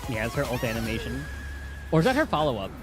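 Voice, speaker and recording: A man doing casual live reaction commentary as a game streamer, excitable and thinking out loud. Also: medium pitch, fast, music in the background. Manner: excitable, musing aloud.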